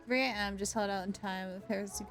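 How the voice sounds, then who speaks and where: A woman streaming a game, chatting animatedly and thinking out loud. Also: medium pitch, steady tone, fairly quick, soft background music. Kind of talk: casual live-stream commentary.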